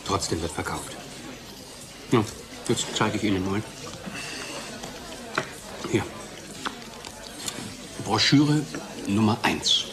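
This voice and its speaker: An actor playing a gleeful, cynical drug developer in a radio play, with an ironic, declamatory manner. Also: male voice, lowish voice, lively intonation, slow pace, pauses often, background noise. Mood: mock salesmanship.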